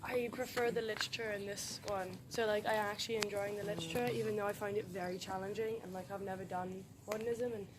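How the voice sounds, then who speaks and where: A woman's voice: medium pitch, little pitch movement, fast talker, few pauses. A young woman student chatting casually, peppering her talk with "like". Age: young adult. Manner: chatty, heavy use of "like". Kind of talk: casual chat. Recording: noisy background.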